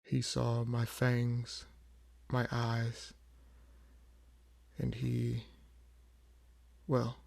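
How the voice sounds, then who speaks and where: A man performs a weary, proud vampire in an audio drama. The voice is gravelly and creaky, unhurried, and stays on an even, restrained line. He broods through each phrase, leaving heavy pauses. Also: mid-range voice. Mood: wistful. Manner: measured, brooding, pausing often. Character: weary, proud old vampire with a buried past. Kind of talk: slow dramatic audio roleplay monologue.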